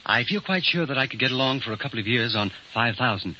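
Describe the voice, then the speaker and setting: An actor playing a mild-mannered, earnest young biologist, polite and hesitant, in 1940s radio drama style. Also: male voice, mid-range voice, animated, fast, pushed and bright. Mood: earnest, modest. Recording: dull, faintly noisy 1940s radio recording.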